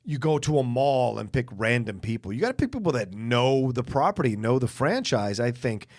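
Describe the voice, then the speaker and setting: A man's voice: medium pitch, big pitch swings, normal speed, a little mellow. A man co-hosting a podcast in casual back-and-forth, readily agreeing and chiming in. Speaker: podcast co-host. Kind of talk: casual banter.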